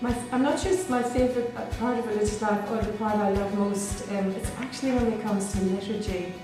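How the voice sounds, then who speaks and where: A middle-aged woman with a Belfast accent talks gently and thoughtfully, pausing on ums and restarting phrases. Her pitch stays level and even throughout. The sound is very echoey, with clear music underneath. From Northern Ireland. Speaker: Dominican sister. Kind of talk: reflective personal interview.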